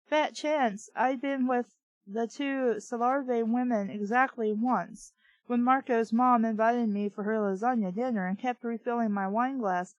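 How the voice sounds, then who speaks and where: A woman's voice: on the high side, no echo, poor audio. A woman reading a story aloud and breaking in with blunt, exasperated, sarcastic commentary full of swearing. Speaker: host of a bad-fiction reading show.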